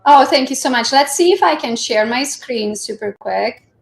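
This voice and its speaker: A woman from Romania speaks English with a Hungarian accent, her voice sitting a little high. She is chatty and energetic, laughing easily. It sounds like a computer mic on a video call. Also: normal speed, gravelly. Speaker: guest speaker. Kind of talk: live online presentation.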